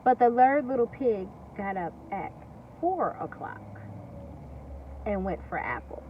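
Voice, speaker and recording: A woman teacher reading a story aloud to young children, expressive and deliberate, pausing to explain and ask questions. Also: mid-range voice. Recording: distant, dull lo-fi recording with faint noise.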